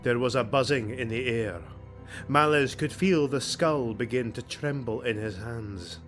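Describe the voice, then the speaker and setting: Slow, forceful male fantasy narrator. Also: mid-range voice. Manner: grave, theatrical. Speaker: audiobook narrator. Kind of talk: dramatic scripted fantasy narration. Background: music.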